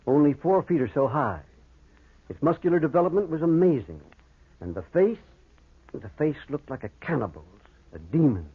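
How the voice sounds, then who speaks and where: Authoritative doctor, formal and dramatic, rough-edged voice, vintage radio horror, narrow muffled sound. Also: male voice, medium pitch. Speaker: actor and narrator. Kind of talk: 1940s radio acting. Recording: muffled, phone-like old radio recording.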